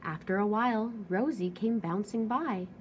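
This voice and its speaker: A woman reading a children's storybook aloud as an expressive narrator. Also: mid-range voice, moderate pace, slight background noise.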